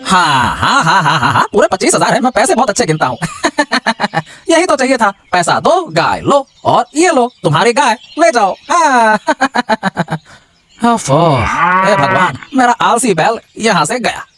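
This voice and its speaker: A man voicing an excitable, grumbling village man in a cartoon folk tale, repeating words and exclaiming. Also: sits high, a little slow, faint music behind, exaggerated voice. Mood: gleeful. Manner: stammering repetition, exclamatory. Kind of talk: cartoon moral-story voice acting.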